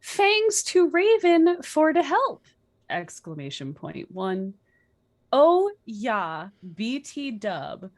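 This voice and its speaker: A woman reads aloud for comedy in a fairly high, lively voice. She is playfully over-emphatic and takes her words very slowly. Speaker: guest reader. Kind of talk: comedic read-aloud with casual reactions.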